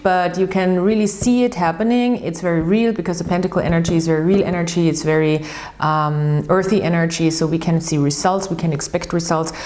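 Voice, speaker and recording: Low-voiced woman. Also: a little mellow. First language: German. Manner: chatty and earnest, restarts often, repeats words for emphasis. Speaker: tarot reader and channel host. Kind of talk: casual tarot reading while shuffling cards.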